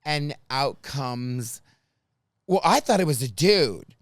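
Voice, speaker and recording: High-voiced older man telling lively stories. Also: takes it slowly, dry recording, clean studio sound. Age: 60s. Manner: animated, mimics passengers' voices, self-mocking. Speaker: podcast host. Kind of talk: casual comedic storytelling.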